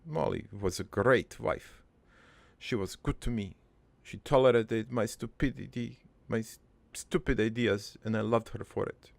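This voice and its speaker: A man voicing a middle-aged, foreign-born cartoon father who rambles through his life story in a deadpan, halting, improvised monologue with a gravelly voice. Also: lowish voice, no echo. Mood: fond, wistful. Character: rambling, crude, wistful foreign-born immigrant father named Joey.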